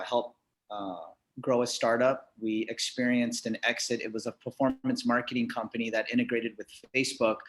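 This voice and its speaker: A man's voice: medium pitch, fairly bright tone. An American man telling his story informally as a panel guest on a video call, upbeat and often repeating words as he goes. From Los Angeles, United States. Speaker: startup entrepreneur, panel guest.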